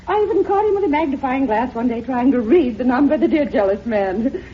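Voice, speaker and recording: A gushing, chatty society woman in a 1950s radio drama, her high voice swooping up and down. She rambles on, flighty and pleased with herself, through a noisy old recording. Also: expressive, brisk, muffled recording. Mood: coy.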